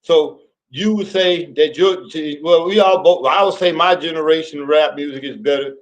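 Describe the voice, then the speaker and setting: A man's voice: on the high side, moderate pace, highs cut off. A middle-aged man hosting a casual talk with his son, chatty and teasing, often stumbling and repeating words. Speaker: host.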